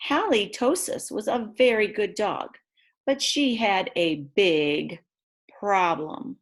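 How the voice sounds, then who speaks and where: A woman reads a picture book aloud to young children in an expressive way, adding chatty asides about the pictures. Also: mid-range voice, takes it slowly. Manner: expressive, exclaims and gasps over the pictures. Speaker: read-aloud storyteller for children.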